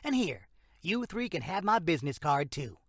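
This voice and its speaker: A man's voice: a little high, sing-song, moderate pace, no room sound, clean studio sound. A man acting as a frank, condescending small-town sheriff in an audio drama. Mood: curt, brushing off. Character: dismissive, bureaucratic small-town male sheriff.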